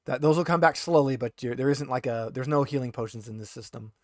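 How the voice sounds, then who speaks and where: A man running a tabletop roleplaying game, explaining rules and narrating in a casual, halting way as he thinks aloud. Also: mid-range voice, fairly even intonation, darkish tone, no echo, studio quality. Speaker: game master.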